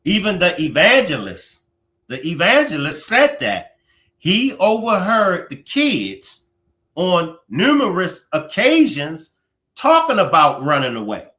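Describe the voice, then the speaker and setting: Male reaction host, slow-paced and emphatic, with a bright forceful edge. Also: high voice, wildly swooping pitch. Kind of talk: live commentary.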